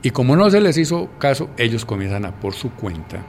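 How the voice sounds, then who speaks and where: A man's voice: medium pitch, very animated, fast talker, a little mellow, slight background noise. A man with a Colombian accent recalling events in a spontaneous interview as a police commander.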